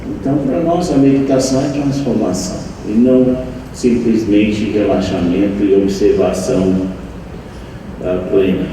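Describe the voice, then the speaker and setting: An older man who learned Portuguese as an adult, a meditation teacher giving a slow, reflective anniversary speech to an audience, with frequent pauses and restarts. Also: fairly low pitch, fairly even intonation. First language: not Portuguese. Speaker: meditation teacher and center leader. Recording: echoey room, distant mic, faint noise.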